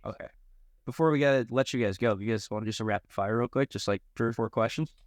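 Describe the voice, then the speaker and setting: A man's voice: mid-range voice, fast, no echo. A man hosting a podcast, asking questions in an informal, easygoing and chummy way. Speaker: podcast host interviewing athletes. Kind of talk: casual interview chat.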